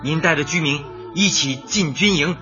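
An actor in a radio drama playing an earnest, grateful squadron leader with a heartfelt, declaiming manner. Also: male voice, a little high, normal speed, pushed and bright. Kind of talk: Chinese radio drama acting. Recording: muffled, faint music behind.

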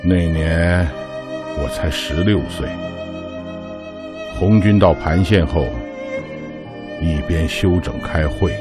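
A man's voice: low voice, slow, long pauses. A man playing a ninety-six-year-old Red Army veteran fondly recalling his youth in a radio drama. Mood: nostalgic. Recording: loud string music under the voice.

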